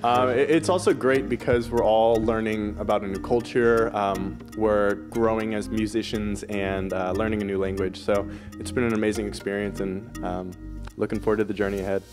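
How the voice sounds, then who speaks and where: A man born in Hong Kong, a singer in a pop group, chatting easily in an interview with some "um" pauses. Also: on the low side, steady tone, music in the background. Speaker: band member, singer. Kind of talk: casual interview.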